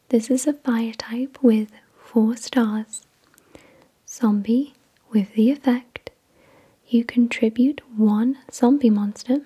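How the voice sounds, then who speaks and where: Breathy whispering woman, slow and soft. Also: fairly high pitch. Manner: measured, repetitive, gentle reading. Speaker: ASMR host. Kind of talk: whispered ASMR card unboxing, scripted reading.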